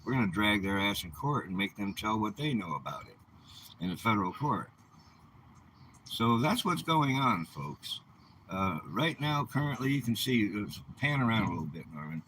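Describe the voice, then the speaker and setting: Male, seventies, lowish forceful voice; indignant, emphatic vlogger airing grievances to camera. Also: sing-song, normal speed, a little hiss or hum, low-quality recording. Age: 70s. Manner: indignant, emphatic, rhetorical questions. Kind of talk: aggrieved personal vlog monologue.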